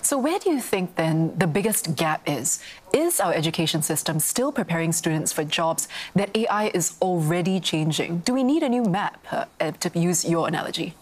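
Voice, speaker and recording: A woman presenting a news report and interviewing a guest, with measured, clear delivery. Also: fairly low pitch, expressive. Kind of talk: scripted news reading and interviewing.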